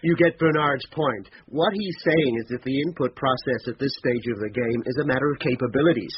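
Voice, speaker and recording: An actor playing a slick, deferential management consultant with glib, earnest jargon in a radio drama. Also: male voice, medium pitch, brisk, highs cut off, background noise, lightly acted. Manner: glib, earnest salesman talk.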